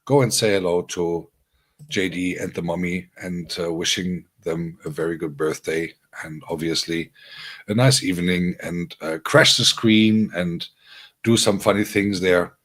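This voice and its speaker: A man's voice: deep voice, steady tone, unhurried, a bit bright. A man hosting a casual livestream, rambling through announcements for his viewers with many fillers and restarts. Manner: friendly, announcing upcoming streams to viewers. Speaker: livestream host and DJ.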